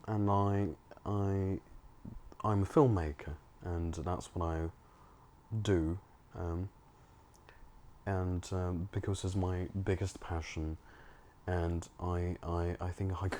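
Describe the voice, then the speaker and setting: A man's voice: sits low, background noise. A young man from China, a filmmaker and home cook, speaking in a documentary interview, reflective and hesitant with many restarts and fillers.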